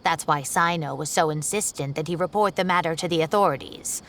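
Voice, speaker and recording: Genteel, lower-voiced older lady professor. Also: brisk, a bit bright, a little hiss or hum. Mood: earnest. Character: genteel, worried older woman scholar, a professor. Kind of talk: video game voice acting.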